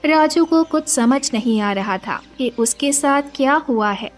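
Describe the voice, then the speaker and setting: A woman's voice: sits high, a little slow, faint music behind. A woman giving scripted narration of a moral story as its storyteller.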